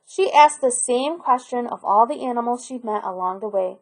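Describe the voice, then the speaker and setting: A woman reading a children's picture book aloud in an expressive storytelling manner. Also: on the high side, moderate pace.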